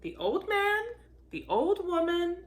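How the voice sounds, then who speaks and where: A woman's voice: very high-pitched, big pitch swings. A woman reading a children's story aloud in a theatrical storytelling style, stretching out key words for emphasis. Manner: theatrical, drawn-out emphasis on repeated action words. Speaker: volunteer storybook reader.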